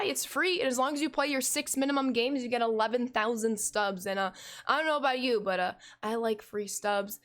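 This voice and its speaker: A woman in her twenties with a fairly high, slightly hoarse voice calls the action of a game for a live stream. She keeps an upbeat, quick commentator's rhythm and breaks off to thank viewers by name. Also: fairly bright tone. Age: 20s. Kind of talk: live play-by-play commentary and chat reading.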